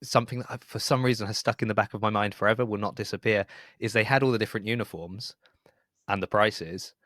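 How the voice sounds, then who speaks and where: A man's voice: lowish voice, fairly even intonation, quick pace, fairly bright tone, dry recording, clean studio sound. A middle-aged man hosting a podcast in a casual, chatty interview style. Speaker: podcast host.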